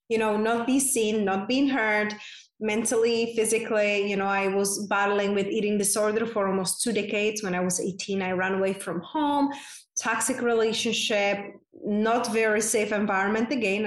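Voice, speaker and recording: A woman in her forties from the Czech Republic with a Czech accent, a podcast guest talking earnestly and warmly about her life, using many fillers. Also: on the high side, echoey room. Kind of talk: casual interview chat.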